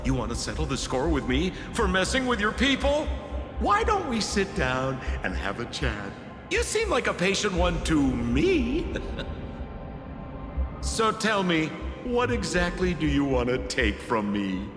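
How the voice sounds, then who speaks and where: A man's voice: a little high, huge pitch swings, measured pace. An actor voicing a sly, showy man in a video game, theatrical and mocking, heard with an echo and music behind. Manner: theatrical, sing-song, wildly swooping. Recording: echoey hall reverb.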